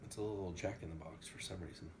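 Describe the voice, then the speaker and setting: A man's voice: sits low, steady tone, fast talker, darkish tone. A man reading aloud to his young daughter like an enthusiastic, playful father, slipping between storytelling and casual asides. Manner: enthusiastic, playful, bursts into song. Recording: noisy home recording with room ambience.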